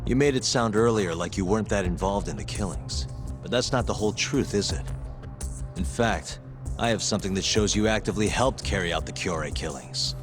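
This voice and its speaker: An actor playing a shrewd, dogged investigator in a video game, cool and probing. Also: male voice, lowish voice, brisk, music in the background, close to an everyday voice. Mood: probing, confident. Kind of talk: English video game cutscene acting.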